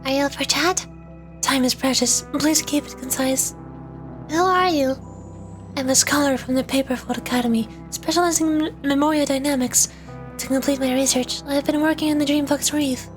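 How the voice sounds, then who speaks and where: A woman's voice: high voice, steady tone, moderate pace. A woman streamer reading game dialogue aloud on a livestream. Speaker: streamer, VTuber. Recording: game music behind the voice. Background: music.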